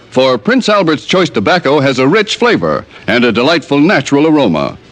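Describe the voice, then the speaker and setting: A man with an American accent reading a polished 1950s radio commercial as announcer. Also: on the high side.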